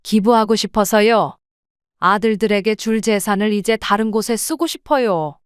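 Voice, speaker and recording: Woman doing a firm mom in her 70s, smooth clear voice, dry close sound. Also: medium pitch, normal speed, no room sound, close to an everyday voice. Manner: plain, steady and resolute. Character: mother in her seventies, calm and firm. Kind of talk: audio drama acting.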